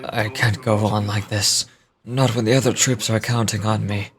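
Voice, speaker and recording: A man playing a desperate, dutiful soldier in dramatic video game dialogue, urgent and halting with fear. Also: on the low side, little pitch movement, lightly acted.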